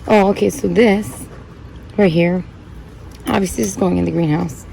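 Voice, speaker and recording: A woman hosts a plant channel and chats loosely about repotting cacti, with plenty of fillers. Her voice is low-pitched and mellow with a gravelly fry. Faint outdoor sounds and passing vehicles are heard behind her. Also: steady tone, moderate pace, slight background noise, rough recording. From born in Puerto Rico, grew up in Connecticut. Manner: rambling, chatty, many fillers. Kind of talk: casual chat while repotting cacti.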